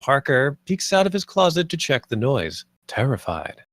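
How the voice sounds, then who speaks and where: A man's voice: mid-range voice, big pitch swings, normal speed, no room sound, studio quality. A man narrating stage directions at a live script table read.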